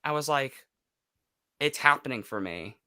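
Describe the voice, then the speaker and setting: A man's voice: medium pitch, lively intonation, a little slow, bright, forceful tone. A man in his twenties talking candidly as an interview guest, emotional and emphatic, repeating phrases for stress. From United States. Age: 20s. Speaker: podcast guest, YouTuber and voice actor. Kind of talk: candid personal interview chat.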